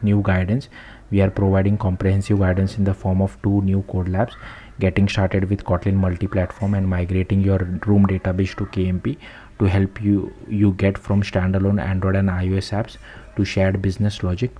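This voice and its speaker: A man's voice: sits low, completely flat delivery, moderate pace, warm tone, a little hiss or hum. A man reading tech news aloud in the manner of a channel host narrating a written article.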